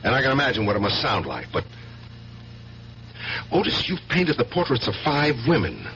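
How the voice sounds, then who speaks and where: An actor playing a gruff, protective older brother and detective in a 1970s radio drama, blunt and dead serious as he questions people. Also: mid-range voice, wildly swooping pitch, normal speed. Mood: grim, urgent. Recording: muffled 1970s radio broadcast with loud hiss.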